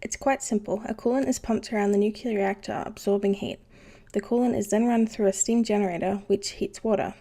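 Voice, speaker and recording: A woman's voice: mid-range voice, fairly even intonation, a little fast, dark tone, natural voice. A woman playing a knowledgeable, unflappable ship's engineer who explains things patiently in an educational cartoon. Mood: patient explaining. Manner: patient, instructive. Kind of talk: scripted educational explanation in animation.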